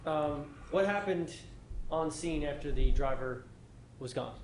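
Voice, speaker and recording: A man's voice: on the high side, a little slow, darkish tone, reverberant, slight background noise, rough recording. A man questioning a witness in court as prosecutor, methodical, with short probing questions.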